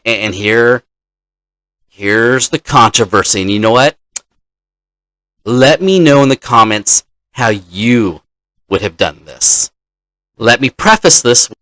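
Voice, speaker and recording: A man's voice: on the low side, pushed and bright, no room sound. A man hosting as a dungeon master, telling the story of a game session in an explanatory way and acting out players' lines.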